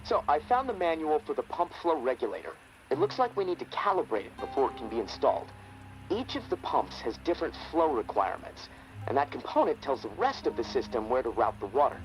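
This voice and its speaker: A man's voice: mid-range voice, wildly swooping pitch, fairly quick, soft background music, poor audio. A man playing a friendly, eager video game technician who guides a survivor, hesitant and stammering. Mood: upbeat, helpful. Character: friendly, nervous, eager male technician guiding survivor by radio. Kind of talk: naturalistic video game voice acting.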